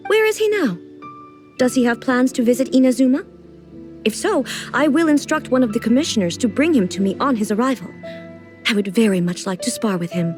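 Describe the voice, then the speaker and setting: Woman as a dignified, higher-voiced solemn ruler. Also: expressive, a little mellow, faint music behind, close to an everyday voice. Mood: curious, intrigued. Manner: formal, composed, measured. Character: dignified, earnest female ruler, sheltered and solemn. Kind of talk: video game character acting.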